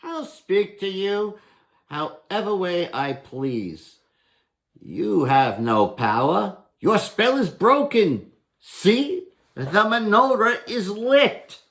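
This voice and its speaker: A man's voice: high-pitched, sing-song, takes it slowly, a bit bright, pitched above the performer's own voice, heavily acted. An older man voicing a bold, mocking village trickster who shows no fear, in a storybook reading. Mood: defiant. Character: defiant, unafraid, teasing village trickster man.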